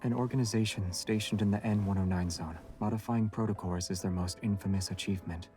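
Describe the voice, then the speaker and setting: An actor playing a calm, guarded young monster hunter in a video game, soft-spoken and measured. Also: male voice, fairly low pitch, soft background music, natural voice. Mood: calm, serious.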